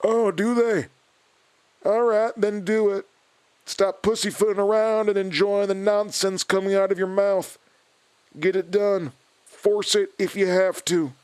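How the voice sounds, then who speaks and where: Man playing a high-voiced, curt, commanding schemer. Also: steady tone, unhurried, a little mellow, clean tone, dry recording. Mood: scornful, commanding. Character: blunt, impatient, commanding man bullying a pastor. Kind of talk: audio drama acting.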